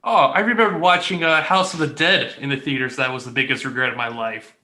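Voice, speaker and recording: A man's voice: medium pitch, a little fast, bright, forceful tone. A man in a casual group debate on a gaming show, blunt, teasing and sweary. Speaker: panelist on a gaming discussion show.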